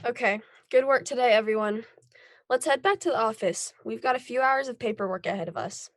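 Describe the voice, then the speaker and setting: A woman playing a calm, reassuring superhero team leader in a table read of a scripted drama. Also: a little high, fairly quick, no echo, studio quality, natural voice. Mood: friendly, businesslike.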